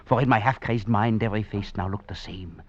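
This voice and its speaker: An actor playing a proud, half-crazed fugitive master thief, narrating theatrically in a 1940s radio drama. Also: male voice, lowish voice, fairly quick, slight background noise, close to an everyday voice. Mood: feverish, haunted. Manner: theatrical, grandiose, brooding. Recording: dull vintage 1940s radio with music behind.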